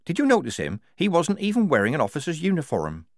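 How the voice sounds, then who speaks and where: A man voicing a level-headed, shrewd young woman soldier who talks calmly and reasons things out. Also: fairly high pitch, fast talker, no echo, studio quality, voice pushed up. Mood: thoughtful. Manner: calm and reasoning.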